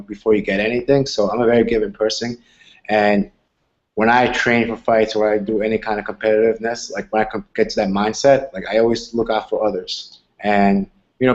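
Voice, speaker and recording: A man's voice: on the low side, dull audio. A man in his twenties from Argentina, a fighter answering interview questions in an earnest, rambling way. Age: 20s. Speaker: MMA fighter.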